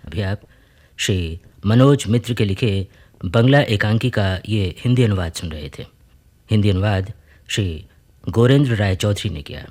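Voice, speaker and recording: A man's voice: fairly low pitch, a little mellow, husky. A man reading scripted credits as a radio announcer in a formal style.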